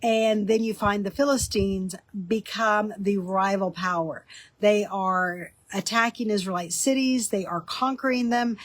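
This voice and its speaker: A woman with a slightly nasal, stuffy voice from a cold, explaining biblical history in a relaxed teaching talk with frequent ums. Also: mid-range voice, a little slow, no echo. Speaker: author and teaching host.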